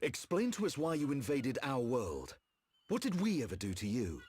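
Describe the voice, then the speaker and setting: A man voicing a stern, wary warrior in a game, questioning bluntly and forcefully, with music behind him. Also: medium pitch, wildly swooping pitch, moderate pace, slight background noise. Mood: accusing. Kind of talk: scripted game cutscene acting.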